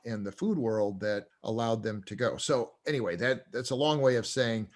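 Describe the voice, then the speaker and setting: A man's voice: medium pitch, moderate pace. Older guy rambling along in an interview, lots of ums and you-knows, chuckles here and there. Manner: rambling, chuckling, many fillers and restarts. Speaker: co-owner and professor. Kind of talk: casual interview talk.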